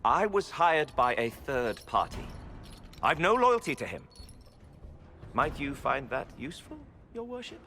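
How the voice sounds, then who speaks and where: A man's voice: medium pitch, big pitch swings, measured pace, bright, forceful tone, full character voice. An actor playing a captured smuggler mage in a game, ingratiating and theatrical in his pleading. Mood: pleading, wheedling. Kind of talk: dramatic game acting. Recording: game dialogue over clear background noise.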